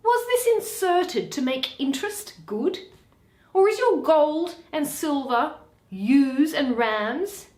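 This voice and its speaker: A proud, scornful Venetian merchant, played by a woman reading Shakespeare. The delivery is stern and declamatory, unhurried and weighty. The sound is roomy, with noticeable echo. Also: high-pitched, expressive, darkish tone, voice pushed up. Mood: challenging.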